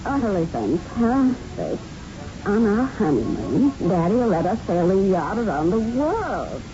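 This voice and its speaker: A woman's voice: medium pitch, big pitch swings, unhurried. An actress playing a spoiled, affected rich heiress in a 1970s radio drama, gushing as she speaks. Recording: muffled, faintly noisy old radio broadcast.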